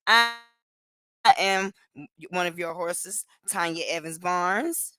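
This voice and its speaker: A chatty, animated woman co-hosts a casual livestream from home, speaking over a video call. Her voice is bright and forward, and the sound is dry and close. She laughs easily and keeps the talk loose. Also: fairly low pitch, slow, no echo. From Cleveland, Ohio. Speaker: co-host.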